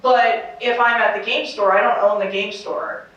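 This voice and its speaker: A woman's voice: fairly high pitch, fairly even intonation, normal speed, fairly bright tone. A woman speaking on a convention panel in a confident, playful way. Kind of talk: casual panel discussion. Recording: dull echoey hall from far off.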